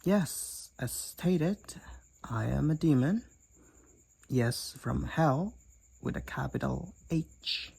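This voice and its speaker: A man's voice: medium pitch, animated, slow, vocal fry, a little mellow, slightly rough, no room sound, full character voice, low-quality recording. A man playing a candid, teasing demon college roommate and hot-headed gamer in a roleplay audio drama, talking bluntly and conversationally to the listener. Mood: matter-of-fact, wry.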